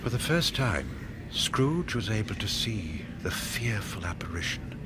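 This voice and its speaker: Radio drama narrator: man, measured and slow, highly dynamic intonation, suspenseful theatrical delivery. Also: big pitch swings, heavy background noise. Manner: theatrical, suspenseful, sometimes hushed, sometimes booming.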